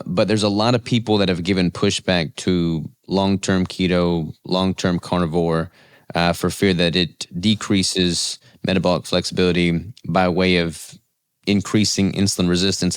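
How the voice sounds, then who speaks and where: Deep smooth-voiced podcast host man. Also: dry recording, studio quality. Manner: agreeable, asks long framed questions. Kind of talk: interview host chat.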